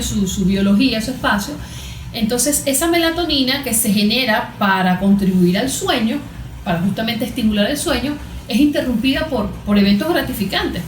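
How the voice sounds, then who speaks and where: Higher-voiced Venezuelan woman explaining, roomy sound. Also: moderate pace, slight background noise. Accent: Venezuelan Spanish. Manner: didactic, poses questions and answers them. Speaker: guest therapist being interviewed. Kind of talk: explanatory interview talk with everyday examples. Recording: roomy, echoing room sound.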